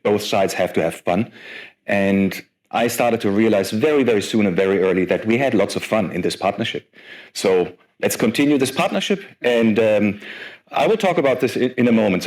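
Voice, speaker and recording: A man in his forties giving a lively conference talk as guest speaker, chatty and self-deprecating with many fillers. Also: on the low side, normal speed. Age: 40s.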